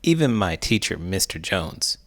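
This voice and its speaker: Very animated, low-pitched man reading a storybook. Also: a little slow, no room sound, clean studio sound. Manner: theatrical, expressive, big emotions. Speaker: host, children's book reader. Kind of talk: animated storybook read-aloud.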